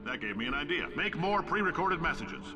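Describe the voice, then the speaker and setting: An actor playing a brash, boastful male science-company boss in recorded game messages, blustery and cracking offhand dark jokes. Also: a little high, sing-song, brisk, over music. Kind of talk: video game voice acting.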